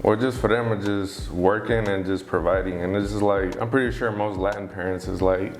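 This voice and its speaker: A man's voice: lowish voice, steady tone, moderate pace, slight background noise. A young man from Oakland, talking casually as an interview guest and often checking in with the listener. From Oakland, California. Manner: laid-back, often asks "you get what I'm saying?".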